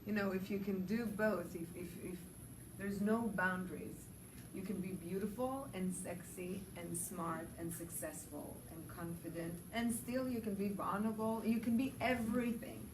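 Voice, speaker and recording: A woman's voice: mid-range voice, moderate pace. A woman who plays the lead in a film answers fan questions with enthusiasm, listing ideas and restarting her sentences as she goes. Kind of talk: warm interview answers. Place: large public building. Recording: echoey and distant, with noise.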